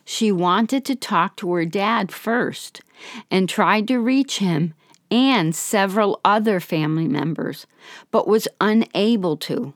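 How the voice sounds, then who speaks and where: Slightly hoarse woman hosting a podcast. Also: mid-range voice, slow, dry recording, studio quality. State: slightly hoarse, under the weather. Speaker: podcast host. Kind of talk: storytelling narration.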